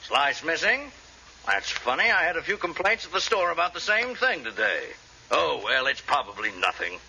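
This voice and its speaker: An actor playing a short-tempered middle-aged grocer and father in a 1940s radio comedy, gruff and exasperated. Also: a little high, big pitch swings, normal speed, bright, forceful tone, a little hiss or hum, exaggerated voice. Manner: gruff, exasperated, barking insults. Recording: lo-fi old radio recording.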